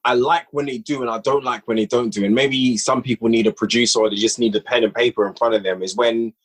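A man speaking as a guest on a casual podcast, his voice slightly husky and sitting in a middle register. He rambles loosely and leans heavily on filler words. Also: medium pitch, steady tone, quick pace, a bit bright. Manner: rambling, peppered with "like". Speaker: podcast guest, audio drama creator. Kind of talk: casual chat.

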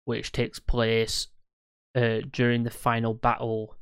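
Clear-voiced man, flat delivery. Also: medium pitch, slow pace, no echo. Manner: hesitant, loses his train of thought. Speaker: podcast co-host. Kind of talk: casual gaming chat.

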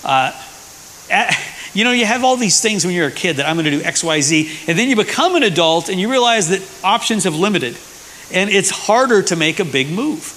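A man's voice: high voice, animated, brisk, bright, forceful tone. A man preaching casually to his congregation with fillers and restarts. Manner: conversational, with fillers. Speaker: pastor. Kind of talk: church sermon. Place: church hall. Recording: hissy echoey church hall.

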